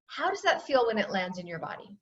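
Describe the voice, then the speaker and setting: Female yoga teacher, soothing and gently instructive, recorded from a distance with slightly muffled sound. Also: medium pitch, sing-song, fairly quick, reverberant.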